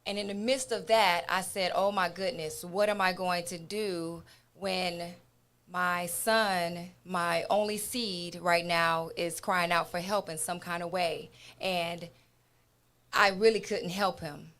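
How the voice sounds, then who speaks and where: Female host for a motivational talk show opener: very unhurried, emphatic, deliberate, encouraging delivery. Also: fairly low pitch, fairly even intonation, fairly bright tone. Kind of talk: motivational radio show introduction.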